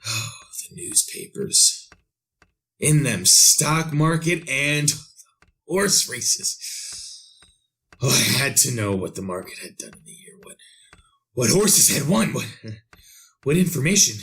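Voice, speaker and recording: An actor playing a half-mad man telling of his own doom in a theatrical, halting, repetitive 1930s radio horror style. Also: mid-range voice, animated, a bit bright. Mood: feverish excitement. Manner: halting, repetitive, theatrical delivery with self-questioning.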